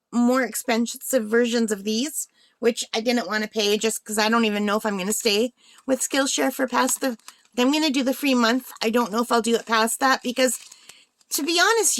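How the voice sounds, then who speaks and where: A woman hosting a craft unboxing vlog in a casual, chatty way, thinking aloud, with a slightly tired, under-the-weather voice. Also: on the high side. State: slightly unwell, voice tiring. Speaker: craft channel host.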